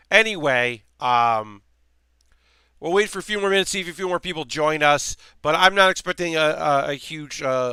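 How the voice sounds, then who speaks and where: A man hosting a relaxed live stream while building a model kit, rambling casually with lots of fillers, his voice slightly worn. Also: medium pitch, smooth, no room sound. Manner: rambling, chatty, many fillers and restarts.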